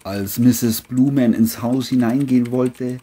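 Narrator for a crime thriller: mellow and husky, scripted delivery. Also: medium pitch, fairly even intonation, a little slow.

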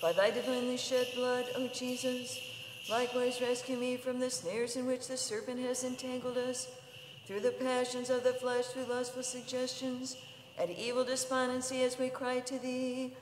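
A woman chants a religious prayer as a liturgical reader, in a solemn, measured, intoned delivery. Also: high voice, monotone, slight background noise. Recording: echoey hall with long reverb.

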